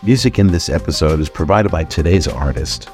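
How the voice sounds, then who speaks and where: A man's voice: extremely low pitch, lively intonation, fairly quick, a little mellow, faint music behind. A man hosting a documentary series, reading scripted narration as an introduction.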